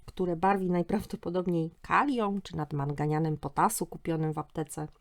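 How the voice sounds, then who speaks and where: Wry woman storyteller with a lowish voice. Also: fairly even intonation, moderate pace, vocal fry. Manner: wry, sardonic. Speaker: storyteller and podcast host. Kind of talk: humorous first-person scripted narration.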